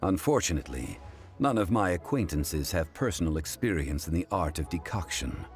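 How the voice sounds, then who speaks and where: An actor playing a composed, scholarly gentleman in a video game, formal, measured and eloquent. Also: sits low, big pitch swings, faint music behind. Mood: calm, formal.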